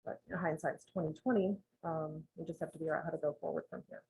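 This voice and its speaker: A woman advising a town board on budgeting, explaining rules in a measured, methodical way with frequent ums, in a town meeting room. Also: sits low, steady tone, creaky, darkish tone, low-quality recording. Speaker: municipal finance advisor presenting to a town board.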